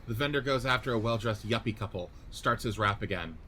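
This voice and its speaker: A man's voice: fairly low pitch, fairly quick, slight background noise. Man reading stage directions. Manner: steady, clipped direction reading. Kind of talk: script reading in 1940s radio play style.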